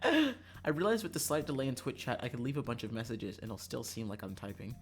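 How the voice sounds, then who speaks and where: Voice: mid-range voice, expressive, quick pace. A young adult streamer chatting casually over a visual novel in a playful, theatrical way. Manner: theatrical, playful, bursts into yelling and laughter. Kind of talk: casual livestream chat and visual novel read-aloud. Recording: music behind the voice.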